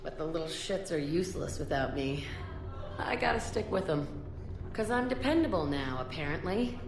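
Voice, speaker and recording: A woman's voice: low voice, sing-song, moderate pace, echoey room, noisy background. A woman playing a tough, sardonic young fighter in a video game, blunt and grumbling. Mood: grudgingly fond. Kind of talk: video game cutscene acting.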